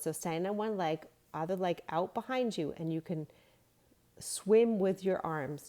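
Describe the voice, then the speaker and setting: A woman with a slightly low voice reads a children's storybook aloud as a storyteller. She also teaches yoga along the way, using short, simple phrases suited to young children. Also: dark tone. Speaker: storyteller and kids yoga instructor. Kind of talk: read-along storybook narration with gentle instruction.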